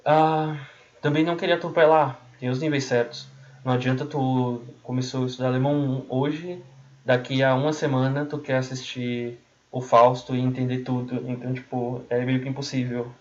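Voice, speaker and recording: A man's voice: medium pitch, level tone, normal speed. A man with a Brazilian accent giving informal language-learning tips in a colloquial way, often repeating and rephrasing himself. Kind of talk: informal explanatory talk. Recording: dull, muffled lo-fi audio.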